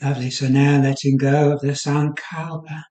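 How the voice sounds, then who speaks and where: A man guiding a yoga nidra meditation in a soothing, unhurried manner, leaving pauses between short phrases. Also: medium pitch, level tone, mellow, echoey room. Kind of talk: guided meditation.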